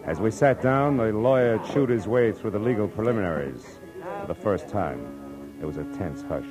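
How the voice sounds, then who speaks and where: A man playing a wry, hard-boiled private detective in a 1940s radio drama, narrating in a sardonic deadpan. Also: on the low side, moderate pace, music in the background, close to an everyday voice. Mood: wry narration. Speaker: lead and narrator. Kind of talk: 1940s radio acting, first-person narration. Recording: vintage 1940s radio recording.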